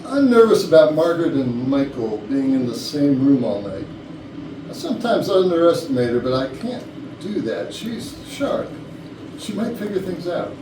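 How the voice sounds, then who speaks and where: A man's voice: fairly high pitch, sing-song, moderate pace. A man playing an anxious, bossy and suspicious company boss near retirement in a staged reading of a comedy. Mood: confiding, uneasy. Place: rehearsal room. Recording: noisy echoey room, distant mic.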